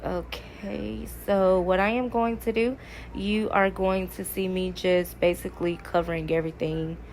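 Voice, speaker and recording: A woman's voice: mid-range voice, flat delivery, a little slow, a little mellow. Woman, slightly stuffy cold voice, friendly how-to chatter, light background noise. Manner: chatty, addresses viewers as y'all. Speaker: craft video creator. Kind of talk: casual DIY tutorial chat.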